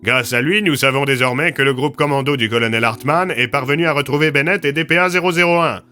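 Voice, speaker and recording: An actor playing a commanding military chief in an audio drama, formal, gracious and given to speech-making. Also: male voice, mid-range voice, fast, sharp tone, faint music behind, natural voice. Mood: formal, congratulatory. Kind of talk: French audio fiction acting.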